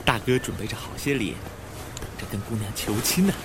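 A man's voice: fairly low pitch, lively intonation, a little fast, noisy background. A man playing a cheeky, loyal, eager young bandit henchman in an audio drama. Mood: confiding. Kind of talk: audio drama acting in Mandarin.

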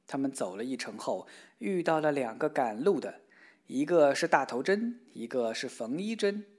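A storyteller reading a children's fairy tale aloud in a steady, expressive way. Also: mid-range voice, moderate pace.

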